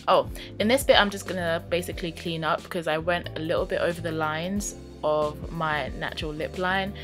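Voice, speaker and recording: Casual woman, lower voice, croaky morning voice-over of a makeup look, faint music under it. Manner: relaxed, chatty, with many ums and likes. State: croaky, just woken up. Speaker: beauty vlogger.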